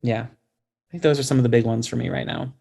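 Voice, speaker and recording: A man's voice: mid-range voice, steady tone, a little fast, creaky, a little mellow. A man speaking as a guest author in a casual interview, informal, self-deprecating and thoughtful.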